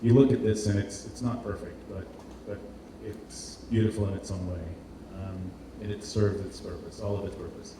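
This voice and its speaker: Echoey, distant man presenting haltingly. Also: fairly low pitch, steady tone. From Portugal. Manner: hesitant, repeats words as he searches for phrasing. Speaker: presenter, industrial designer. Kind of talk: informal conference presentation. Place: indoor event space with heavy echo. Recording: roomy, distant, noisy live hall recording.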